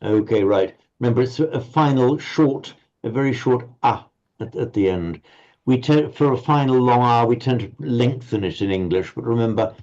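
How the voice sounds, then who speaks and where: A man's voice: medium pitch, warm tone. A man teaching a Pali class online, explaining patiently and often stumbling over repeated words. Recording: slightly muffled audio.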